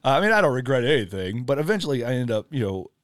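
A man's voice: mid-range voice, big pitch swings, very fast, no echo, studio quality. A man telling a long personal story in casual chat, rambling with lots of "like" and "you know". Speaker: podcast guest.

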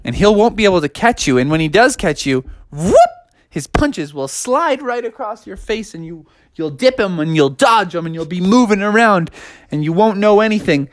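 A man's voice: sits high, animated, normal speed, dry recording, clean studio sound, pitched above the performer's own voice. Man as slangy, encouraging boxing coach buddy. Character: laid-back frog's boxing coach, a strategizing loyal buddy.

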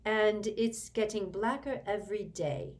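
A woman's voice: mid-range voice, a little slow, darkish tone, voice pushed up, natural voice. A woman reading aloud and voicing a cold, irritable grown man who speaks curtly and with disdain. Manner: curt, snapping, disdainful.

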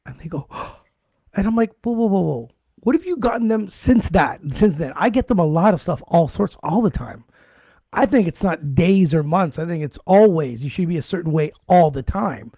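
A man on a casual podcast, his pitch bouncing around a lot as he jokes and rambles. His voice sits a bit high for a man, recorded close and dry. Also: moderate pace, husky, no echo. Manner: joking, rambling, animated asides. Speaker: podcast co-host.

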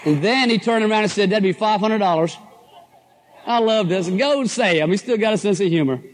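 Hoarse, folksy man. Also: sits high, a little fast. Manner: folksy, enthusiastic, addresses crowd as guys and folks. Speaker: motivational speaker at a business convention. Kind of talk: motivational talk to a live audience.

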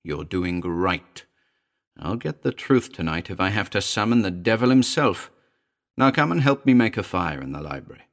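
A man's voice: low-pitched, expressive, moderate pace, creaky. A man voicing an agitated, commanding male character in a dramatic audiobook, fervent and emphatic. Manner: fervent, emphatic, urgent pleading.

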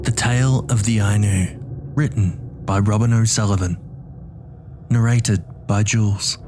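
A man's voice: fairly low pitch, steady tone, measured pace, noisy background. A man hosting a story podcast in character, talking casually as if guiding a companion through an audio drama. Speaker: host and guide of a story podcast.

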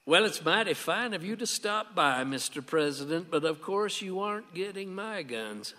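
An actor playing a stubborn conservative husband in a radio play, full of gruff sarcasm and folksy defiance. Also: male voice, high-pitched, lively intonation, normal speed, pushed and bright, big-room sound. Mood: defiant. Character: stubborn, sardonic conservative husband and gun owner.

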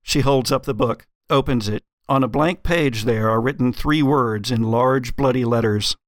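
A man working as game master, narrating a gothic story in a deliberate, theatrical way. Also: mid-range voice, dry recording, clean studio sound. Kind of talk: dramatic scripted narration and game narration.

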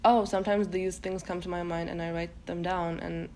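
A young woman from Turkey, a native Turkish speaker, talks through her ideas on a podcast. Her voice sits a little low, and she circles her thoughts with fillers and false starts. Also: little pitch movement, fairly quick, warm tone, slight background noise. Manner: hesitant, many fillers and self-restarts. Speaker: guest poet. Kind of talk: casual podcast conversation.

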